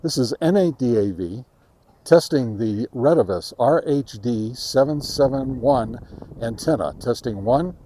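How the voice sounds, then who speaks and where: A man of average pitch hosts a casual gear test outdoors. He speaks haltingly, feeling his way through the explanation as he narrates each step. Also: expressive, dark tone. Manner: halting, hesitant delivery. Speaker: host of a radio gear review channel. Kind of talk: casual field-test commentary. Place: outdoors in a park.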